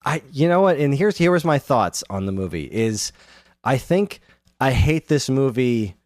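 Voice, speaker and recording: A man's voice: mid-range voice, very animated, a little slow, darkish tone, dry recording. A man co-hosting a podcast in casual conversation, animatedly retelling things and acting out the odd quote. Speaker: podcast co-host. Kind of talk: casual chat about films.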